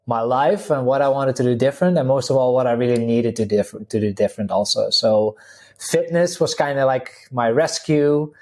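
A man's voice: mid-range voice, moderate pace, darkish tone. Dutch-accented man chatting easily. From Netherlands. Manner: chatty, many fillers and restarts, laughs easily. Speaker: guest, vegan health coach. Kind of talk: casual interview talk.

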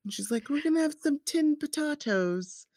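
A woman in her forties, a parent who works in scrubs, chatting casually in halting speech with many restarts. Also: high-pitched, expressive, darkish tone, no echo, clean studio sound. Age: 40s. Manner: halting, restarts often, says "like" and "you know". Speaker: healthcare worker and parent. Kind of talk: casual chat.

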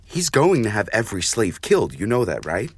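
A man's voice: on the low side, wildly swooping pitch, brisk, breathy edge, exaggerated voice. An actor playing a brooding, guilt-ridden nobleman, earnest and intense, in a fantasy audio drama. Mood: urgent, hurt.

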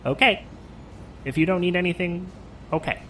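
A woman's voice: on the low side, moderate pace, fairly bright tone, slight background noise, close to an everyday voice. A woman acting in an audio drama as a chatty, eager facility guide who rambles a little hesitantly. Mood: awkward, hesitant.

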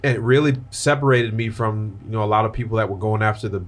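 Male podcast host, somewhat low voice, loose energetic interviewer. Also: fast talker. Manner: loose, excited, lots of fillers.

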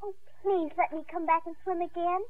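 A woman's voice: very high voice, fairly even intonation, a little fast, no echo. A woman playing an eager, stubborn little girl in a 1950s radio drama. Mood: earnest pleading. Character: eager, stubborn little Australian girl who loves swimming. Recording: muffled vintage 1950s radio broadcast.

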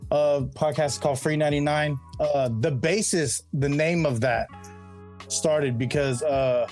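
A man's voice: a little high, fairly even intonation, measured pace, a little mellow, faint music behind. A middle-aged man talking as a podcast guest, laid-back and rambling, full of slang and "you know what I mean". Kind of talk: casual interview chat.

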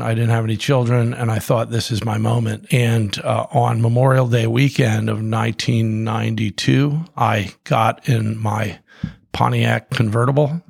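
A man's voice: medium pitch, vocal fry, no echo, clean studio sound. A middle-aged man telling his story in a casual, rambling way, full of restarts and fillers. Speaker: guest, coffee company co-founder.

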